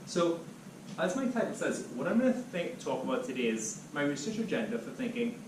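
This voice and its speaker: Man giving a lively lecture. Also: medium pitch. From Trinidad and Tobago. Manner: animated, steady lecturing. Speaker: guest speaker, researcher. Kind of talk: academic seminar talk. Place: lecture room. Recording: echoey room, distant mic, background noise.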